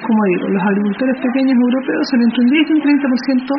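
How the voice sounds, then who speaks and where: A Chilean woman explains her points in an interview, thinking aloud and pausing on filler sounds as she builds each idea. The recording is dull and slightly muffled, with a steady hiss of noise behind her voice. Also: high voice. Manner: explanatory, thoughtful, frequent hesitation fillers. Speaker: activist and researcher. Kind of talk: interview answers.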